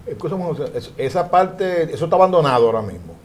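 A man who hosts a TV studio interview, talking quickly with many restarts and repeated words. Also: a little high, expressive, a little mellow, slight background noise. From Dominican Republic. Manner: quick, halting, with repeated false starts.

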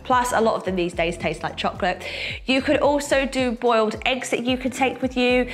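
A woman's voice: fairly high pitch, normal speed. A young woman with a slight cold and a nasal edge, chatting casually to camera as a fitness coach, with lots of fillers. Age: young adult. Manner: chatty, many fillers, lively gestures. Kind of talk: casual sit-down chat to camera. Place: bright room indoors, seated.

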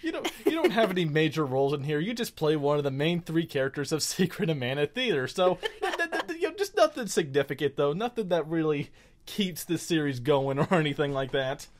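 A man's voice: a little high, very animated, fairly quick. A man hosting a gaming show in unscripted casual chat, rambling and stammering in a self-deprecating way.

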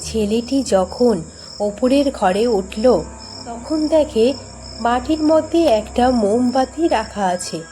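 A woman's voice: fairly high pitch, takes it slowly, over music. A woman narrating a scripted horror story as a storyteller.

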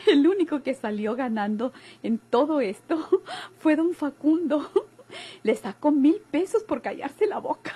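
An actress playing an excitable, nosy young daughter with a Mexican accent in an old radio drama. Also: female voice, high voice, unhurried. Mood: amused. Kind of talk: golden-age Mexican radio drama acting.

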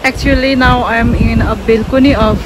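A woman's voice: a little high, fairly even intonation, a little fast. A young adult woman, narrating a casual walking tour as a travel vlogger. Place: outdoors in village streets and balconies. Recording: clear outdoor ambient noise, passing traffic.

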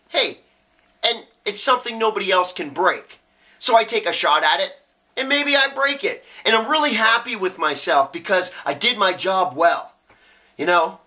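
A man's voice: high-pitched, animated, moderate pace, bright, forceful tone, pitched above the performer's own voice. A man playing a cocky, cynical young working-class genius, rambling sardonically through a dramatic film monologue. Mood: sardonic. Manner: rambling, sardonic, building chain of argument.

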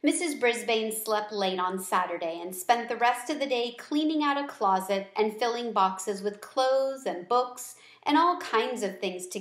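A middle-aged woman reading a children's book aloud with deliberate, expressive storytelling phrasing. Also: mid-range voice. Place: small room at home. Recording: roomy home recording.

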